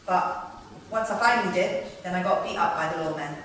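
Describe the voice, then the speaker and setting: A woman's voice: lowish voice, brisk, fairly bright tone, natural voice. A woman acting a polite, earnest, curious young orphan boy with hesitant, formal phrasing in a script table read. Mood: hesitant, explaining. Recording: very echoey room, distant mic, faint noise.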